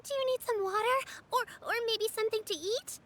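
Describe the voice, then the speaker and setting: Very high-voiced caring little girl. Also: brisk, background noise. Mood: caring, earnest. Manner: sweet, solicitous. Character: earnest, caring little girl, polite apprentice. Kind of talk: video game dub acting. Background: loud background music.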